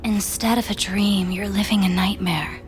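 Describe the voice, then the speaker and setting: A woman playing a soft, probing, hypnotic pleasure-doll companion in a video game, speaking intimately and often in a whisper. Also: medium pitch, steady tone, moderate pace, soft background music, close to an everyday voice.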